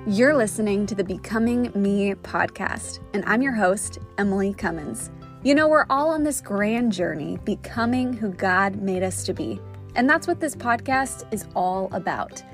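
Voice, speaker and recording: A woman's voice: mid-range voice, moderate pace, soft background music. A woman hosting a faith podcast, gushing with praise and chatting casually. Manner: gushing, warm-hearted praise, filler "like". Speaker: podcast host.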